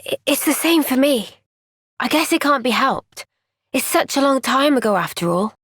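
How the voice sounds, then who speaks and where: A woman voicing an earnest, kind young woman soldier in a video game, speaking gently and sincerely in a thoughtful, resolute way. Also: sits high, animated, moderate pace, slightly rough, dry recording, studio quality, full character voice. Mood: resolved, reassuring. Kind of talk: video game cutscene voice acting.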